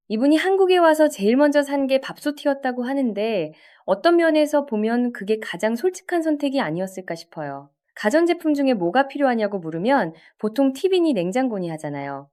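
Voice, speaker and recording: Woman narrator with a lively, varied pitch. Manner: conversational, addresses listeners with rhetorical questions. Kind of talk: scripted storytelling narration.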